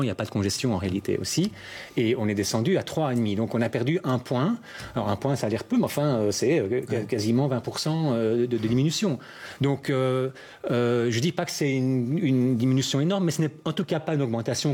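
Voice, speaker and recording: An university professor explains traffic statistics on the radio in a halting, careful way. His voice has a husky edge, and his speech is full of pauses and restarts as he builds his points. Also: medium pitch, darkish tone. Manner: halting, many fillers, explanatory. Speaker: university professor, mobility expert. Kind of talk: radio interview answers.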